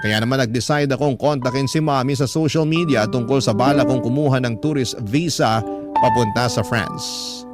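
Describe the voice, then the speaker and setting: A man's voice: mid-range voice, moderate pace, close to an everyday voice. A man with a Filipino accent playing an earnest young man who tells his own heartache in confiding, sentimental radio drama narration. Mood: hopeful, wistful. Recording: narration over loud music bed. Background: prominent music.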